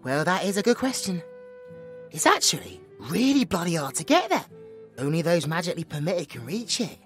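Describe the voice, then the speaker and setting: A woman's voice: lowish voice, normal speed, faint music behind. A woman with a British accent playing a feisty, chatty bard in a fantasy audio drama. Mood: wry, reflective. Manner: colloquial, cheeky, expressive. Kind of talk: fantasy audio drama acting, narrating to the audience.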